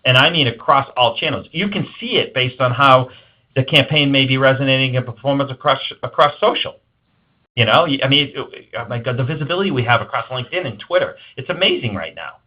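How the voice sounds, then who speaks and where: A man's voice: mid-range voice, expressive, a little fast. A man speaking as an executive guest in an interview, giving emphatic, conversational advice. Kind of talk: interview answers on marketing. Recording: dull lo-fi band-limited audio.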